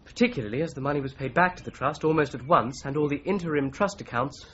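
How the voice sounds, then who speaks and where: An actor playing a shrewd, methodical solicitor in a 1980s radio drama, with an English accent and a precise, measured, probing manner. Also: male voice, on the high side, a bit bright, a little hiss or hum, natural voice. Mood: firm, pressing. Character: shrewd, methodical male solicitor turned amateur investigator. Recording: slightly dull 1980s radio recording.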